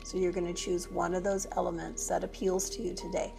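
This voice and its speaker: A woman leading a guided meditation as a yoga teacher, speaking gently and unhurriedly with pauses between phrases. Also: on the low side, flat delivery, vocal fry, over music.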